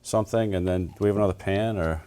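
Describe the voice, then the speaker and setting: A man's voice: sits low, fast talker, warm tone, smooth. A man, the town manager, cooking as a guest and explaining each step in an easygoing way, with lots of "uh" and restarts. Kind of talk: casual cooking demonstration.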